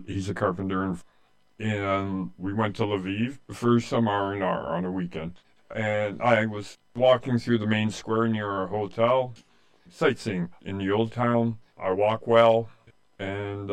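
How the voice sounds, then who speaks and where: A man in his late sixties with a Canadian accent, telling his personal story in an earnest, plain-spoken way in an interview. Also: lowish voice. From Canada, rural eastern Ontario. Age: late 60s. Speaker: interviewee, humanitarian aid volunteer.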